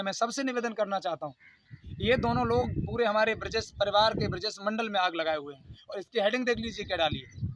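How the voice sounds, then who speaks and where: High-pitched, insistent man. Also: fairly bright tone, a little hiss or hum, rough recording. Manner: aggrieved, insistent, repetitive pleading. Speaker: YouTube channel host. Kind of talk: unscripted video statement responding to a dispute.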